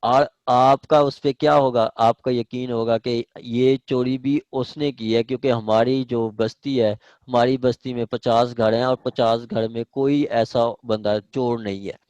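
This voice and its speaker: A man's voice: mid-range voice, fairly even intonation, unhurried, few pauses, a little mellow, clear, resonant tone, no echo, muffled recording. A man with a Pakistani accent lecturing informally as a law teacher, repeating points through examples and often checking that listeners follow. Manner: repetitive, explains by examples with frequent check-in tags.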